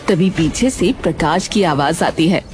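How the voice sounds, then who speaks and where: A woman's voice: fairly low pitch, unhurried, a little hiss or hum. A woman narrating a cartoon story in a scripted storytelling style. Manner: storytelling, expressive.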